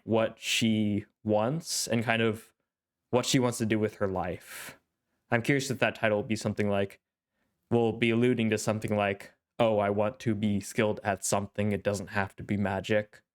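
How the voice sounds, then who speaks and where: Young male anime host, stuffy-nosed, smooth low-ish voice, rambling casual commentary. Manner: thoughtful, rambling, with frequent fillers. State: congested, clearing his throat. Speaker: anime reaction channel host.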